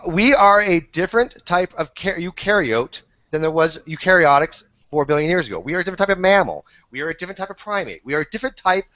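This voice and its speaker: High-voiced man hosting a debate, dull audio. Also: lively intonation, fast talker, few pauses, pushed and bright, no echo. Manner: insistent, presses for yes or no answers. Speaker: host moderating a debate panel. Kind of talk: heated live debate. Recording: dull, lo-fi online call audio.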